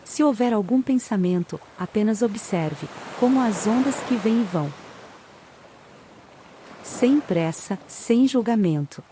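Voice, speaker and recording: A Brazilian woman narrating a guided meditation in a calm, soothing way with long pauses. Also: medium pitch, noisy background. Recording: ocean waves behind the voice. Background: sound of sea waves.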